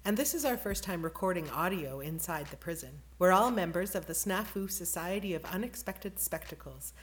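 A woman with a fairly low voice presents podcast credits. She reads prepared thanks and announcements on behalf of a theatre company. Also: animated, moderate pace, rough recording.

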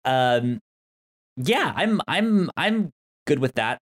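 Halting male podcast host with stammered syllables. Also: fairly high pitch, sing-song. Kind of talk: casual podcast chat.

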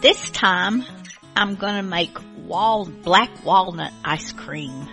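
Slow-talking woman radio host, bright low voice. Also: soft background music. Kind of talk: casual unscripted radio chat.

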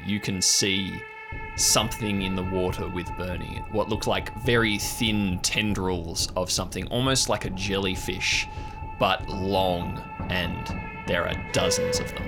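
A man's voice: sits low, gravelly. A man narrating an improvised tabletop audio drama as game master. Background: music.

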